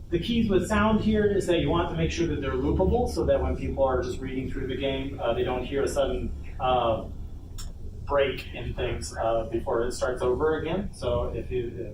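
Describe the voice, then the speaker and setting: A man presents an informal workshop in a casual, somewhat hesitant way with playful asides. His mid-pitched voice is picked up from a distance in an echoing room, with clear background noise. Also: fairly even intonation, normal speed. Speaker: workshop presenter on a convention panel. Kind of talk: informal instructional presentation to an audience. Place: convention panel room. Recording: noisy, echoey room, distant mic.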